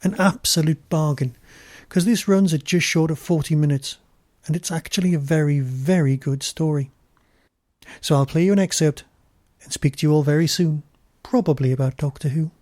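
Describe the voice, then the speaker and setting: A man hosts a review podcast in a mellow, relaxed tone. His voice sits a little higher than most men's, and the sound is dry and close as he delivers a scripted monologue. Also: moderate pace, dry recording.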